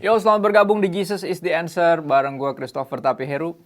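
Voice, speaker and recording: A man's voice: on the high side, steady tone, fast talker, heavy background noise. An Indonesian man hosting a casual youth talk show, mixing English phrases into his speech. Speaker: host.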